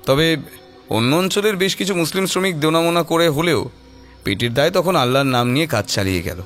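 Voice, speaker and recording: A man's voice: fairly high pitch, expressive, brisk, a bit bright. Male story narrator over music. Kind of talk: scripted dramatic story narration.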